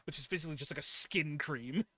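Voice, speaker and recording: A man's voice: fairly high pitch, fast, noisy background. A man running a tabletop game as game master, chatting casually and laughing often between his words. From Philippines heritage.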